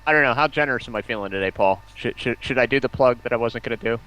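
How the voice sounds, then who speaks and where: A man's voice: medium pitch, rapid-fire, bright, forceful tone, rough recording. A man chatting casually as a guest on a gaming livestream, often repeating words as he gets sentences going. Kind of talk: casual banter and chat.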